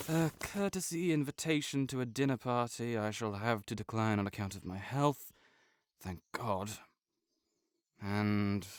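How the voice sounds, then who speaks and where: Man acting a slow, low-voiced sickly nobleman. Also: clear, resonant tone, dry recording, clean studio sound, natural voice. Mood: dry, wistful. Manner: formal, measured, genteel old-fashioned phrasing. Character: sickly, world-weary nobleman, proud, formal and melancholy. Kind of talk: intimate scripted audio roleplay.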